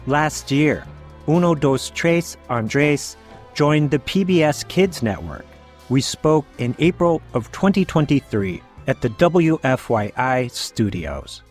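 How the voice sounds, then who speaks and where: A man hosting a radio show, introducing segments and asking interview questions in a measured way. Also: on the high side, lively intonation, faint music behind. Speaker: radio host.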